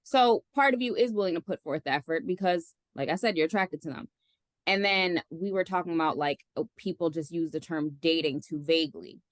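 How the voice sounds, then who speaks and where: A woman's voice: fairly low pitch, brisk, no echo, clean studio sound. A woman in her late thirties, a podcast guest giving blunt opinions with casual swearing. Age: 30s.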